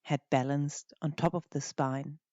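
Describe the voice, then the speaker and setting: A meditation teacher gently guiding a practice in short, unhurried phrases with pauses between them. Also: medium pitch, little pitch movement, no echo, lo-fi sound. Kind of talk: guided meditation narration.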